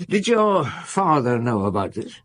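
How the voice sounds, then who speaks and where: Tired middle aged police chief in a radio play, husky, melody goes up and down, asks stuff slowly with little grunts. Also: male voice, mid-range voice, big pitch swings, fairly bright tone, no echo. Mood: calm, probing. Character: weary, thoughtful middle-aged male chief police inspector. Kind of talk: radio drama acting.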